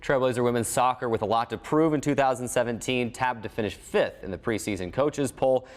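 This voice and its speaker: A man's voice: mid-range voice, fairly quick. A man hosting a sports show, recapping results and interviewing guests in a studio. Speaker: sports show host and play-by-play announcer.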